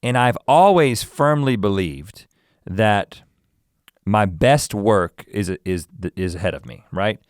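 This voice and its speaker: A man in his forties musing aloud in a casual chat, reflective and halting as he searches for words. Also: on the low side, animated, no room sound, clean studio sound. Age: 40s.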